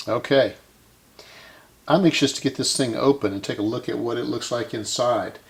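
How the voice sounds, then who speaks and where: Creaky-voiced man thinking aloud. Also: medium pitch, moderate pace. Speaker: host of a vintage radio repair video. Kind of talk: casual hands-on narration while working.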